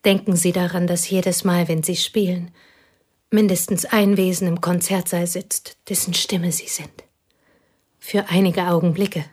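An actress playing a plain, devoted woman who confesses intimately to a pianist in a radio play, her voice husky and often whispered. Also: lowish voice, a little mellow. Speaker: confiding companion.

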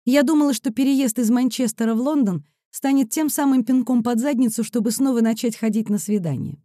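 Mellow, fairly high-voiced woman narrating fiction. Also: a little fast, dry recording, clean studio sound. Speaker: audiobook narrator. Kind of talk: expressive first-person fiction narration.

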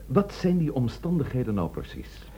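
Husky, probing police inspector. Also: male voice, medium pitch, sing-song, normal speed, dark tone, muffled recording. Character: probing bachelor police inspector, blunt but courteous. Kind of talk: radio play acting.

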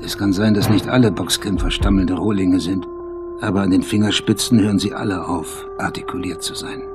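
A man narrating a radio play with literary, scripted text in a measured, essayistic and dryly ironic manner. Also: low-pitched, fairly even intonation, music in the background.

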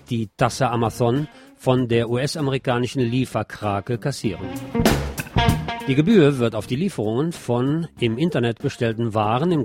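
A man presenting the news, reading scripted reports. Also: medium pitch. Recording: music under the voice.

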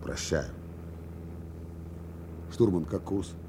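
An actor playing a stern, terse bomber commander in a radio drama, clipped and authoritative with dry irony. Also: male voice, deep voice, expressive, drawn-out words, noisy background, exaggerated voice. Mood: dry, controlled. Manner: clipped, authoritative, dryly ironic. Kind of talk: Soviet radio drama acting.

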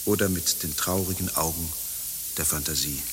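Actor as timid tormented monk in his forties, lowish male voice, overwrought, halting, radio drama, reverberant hissy sound. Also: fairly even intonation, lightly acted. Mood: agitated. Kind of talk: radio play acting. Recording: hissy, humming recording with long reverb.

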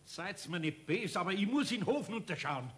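A man's voice: sits high, lively intonation, moderate pace, husky, heavily acted. A man playing a kindly, flustered older master craftsman with a Bavarian accent in a 1970s radio play, speaking in a folksy, hesitant way. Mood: flustered, uneasy. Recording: noisy old 1970s radio recording.

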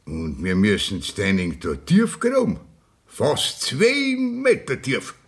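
An actor with a Bavarian accent playing a glum village gravedigger in a radio play, speaking in heavy dialect. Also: male voice, on the low side, very animated, slow, breathy edge, heavily acted. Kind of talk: 1960s radio play acting.

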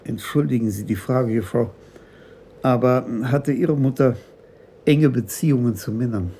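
An actor playing a polite, probing senior police commissioner in a German radio crime drama, questioning in a courteous, measured way. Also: male voice, medium pitch, dark tone, a little hiss or hum, natural voice. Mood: gently insistent.

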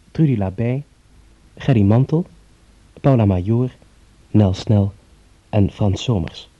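Slow, very dark-voiced male announcer. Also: on the low side. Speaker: radio announcer. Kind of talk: formal scripted credits announcement. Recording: dull, muffled old radio recording.

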